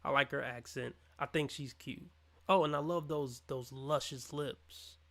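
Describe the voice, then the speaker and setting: A man's voice: medium pitch, steady tone, a little slow, fairly bright tone. A man reacting on a livestream to a dating show, mocking and scornful as he rants over the clip. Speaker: livestream commentator. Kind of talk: reaction commentary.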